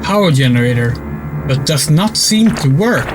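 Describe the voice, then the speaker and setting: A man's voice: mid-range voice, expressive, moderate pace, heavy background noise. A man streaming a video game, giving casual commentary in a rambling way with lots of fillers and swearing. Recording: loud game music behind the voice.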